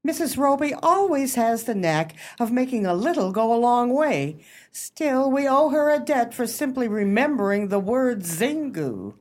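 A prim club hostess played by an actress in a radio play, her high voice swinging widely. She is formal and genteel, anxiously fussing to keep things proper. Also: big pitch swings, measured pace, darkish tone. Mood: measured.

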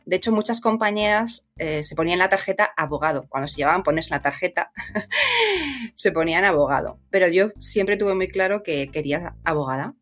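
A woman's voice: mid-range voice, a bit bright. A middle-aged woman from Madrid with a Madrid Spanish accent, talking casually as an interview guest. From Madrid, Spain.

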